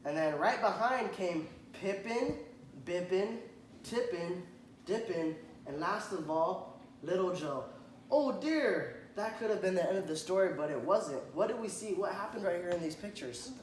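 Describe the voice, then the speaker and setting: A man reading a children's picture book aloud to a child, stopping to point at the pictures and ask questions, and often clearing his throat. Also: sits high, animated, moderate pace. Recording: echoey room, faint background noise.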